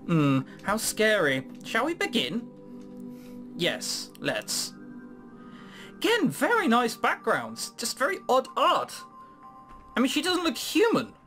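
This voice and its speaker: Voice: medium pitch, huge pitch swings, slow pace, pauses often, pushed and bright, soft background music. A let's play host giving casual, sweary, incredulous commentary while reading through a game. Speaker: gaming video host.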